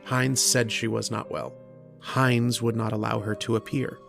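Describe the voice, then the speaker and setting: Man acting a gravelly, brooding, erudite lodger. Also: medium pitch, flat delivery, music in the background. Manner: literate, deliberate, introspective, with hesitant starts. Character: lonely, erudite, brooding male mathematician lodger, earnest and uneasy. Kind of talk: old-time radio drama acting with first-person narration.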